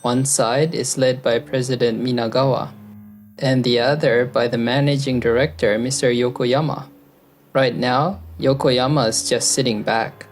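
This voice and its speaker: A woman's voice: fairly even intonation, a little slow. A woman playing an earnest, loyal young office worker and narrator in a manga dub, speaking in a conversational way. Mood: reflective narrating. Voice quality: low-pitched voice. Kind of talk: anime-style manga dub with first-person narration. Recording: background music under the voice. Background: music.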